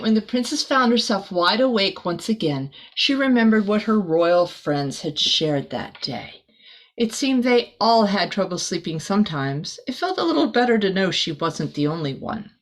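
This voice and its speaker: A woman's voice: on the high side, low-quality recording. A woman reading a children's picture book aloud expressively, adding small chatty asides for a young listener. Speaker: storybook reader.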